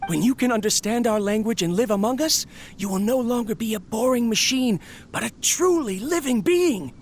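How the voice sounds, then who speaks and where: A man's voice: very high voice, lively intonation, moderate pace, background noise. An actor playing an ambitious, eccentric male inventor in a video game, talking in a theatrical, grandiose way. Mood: visionary. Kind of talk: video game character monologue.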